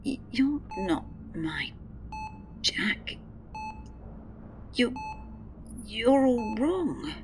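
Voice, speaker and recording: Épouse apeurée, voix aiguë et changeante. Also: female voice, fairly high pitch, big pitch swings, a little hiss or hum, full character voice. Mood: confused, wary. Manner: emotional, halting. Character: confused, fearful wife rejecting her husband as impostor. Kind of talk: radio drama acting.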